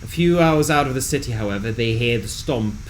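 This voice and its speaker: A man reading a scripted fantasy game recap in a dramatic, measured storytelling delivery. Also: medium pitch, lively intonation, slight background noise.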